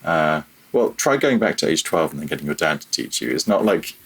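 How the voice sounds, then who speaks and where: A man's voice: rumbling low, very animated, fairly quick, husky. A man chatting casually as an interview guest, thinking aloud in a hesitant, self-deprecating way. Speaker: podcast guest, freelance audio developer.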